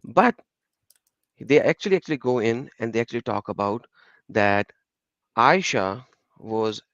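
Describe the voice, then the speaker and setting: A man's voice: medium pitch, expressive, unhurried, a bit bright, dry recording. Man explaining texts over dull call audio. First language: Urdu. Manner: explanatory, reads passages aloud and glosses terms. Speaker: guest panelist. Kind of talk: online discussion, reading out sources. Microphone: video call.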